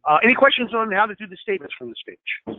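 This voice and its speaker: A man leading a software training session over a screen share, explaining step by step with many fillers, slightly hoarse and clearing his throat. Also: sits high, sing-song, quick pace, dry recording. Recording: tinny phone-like line.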